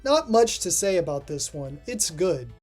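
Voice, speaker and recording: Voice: medium pitch, big pitch swings, unhurried, over loud music. A host giving casual, scripted review commentary as a voice-over, with dry, offhand asides. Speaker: host of a video ranking cartoon episodes. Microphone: voice-over recording.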